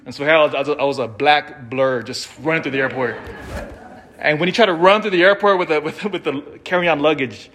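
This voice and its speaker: Priest preaching, measured and emphatic man. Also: a little high, a bit bright, a little hiss or hum. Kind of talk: scripture reading and conversational homily.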